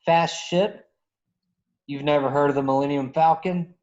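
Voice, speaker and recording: A man's voice: on the high side, steady tone, unhurried, a little mellow, lightly acted. A man playing a cocky, tough, roguish star pilot of about thirty in an online script reading. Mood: boastful. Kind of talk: script table read. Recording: dull, lo-fi online call.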